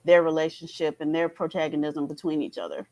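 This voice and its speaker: A woman's voice: sits low, brisk, darkish tone. A middle-aged woman talking casually as a panelist in a fan discussion.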